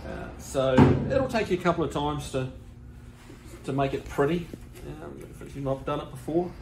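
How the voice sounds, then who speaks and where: A man in his sixties with a New Zealand accent, showing car restoration work in a workshop in a relaxed, practical, plain-spoken way. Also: on the high side, moderate pace, gravelly. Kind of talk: casual how-to demonstration. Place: large car workshop, indoors.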